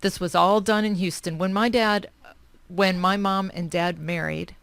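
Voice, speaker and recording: A woman's voice: mid-range voice, fairly even intonation, a little slow, vocal fry, a bit bright, smooth, no echo. A woman whose first language is German, telling her family history in a radio interview with short, matter-of-fact answers. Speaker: nonprofit founder, interview guest. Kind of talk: radio interview, personal life story.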